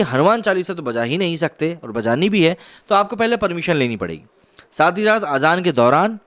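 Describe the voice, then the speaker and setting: A man's voice: on the high side, a bit bright. A man presenting online news commentary in an explanatory way, mixing read reports with conversational asides.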